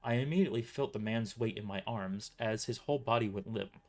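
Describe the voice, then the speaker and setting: A man's voice: on the low side, fairly quick, a little mellow. A man reading a first-person story as a dramatic narrator, occasionally stumbling over his words. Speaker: author narrating own novel.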